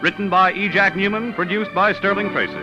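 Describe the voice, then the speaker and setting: Over music on a muffled old broadcast recording, a male announcer speaks in a high-pitched voice. His delivery is formal, measured and polished, in the declamatory manner of 1940s network radio. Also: steady tone.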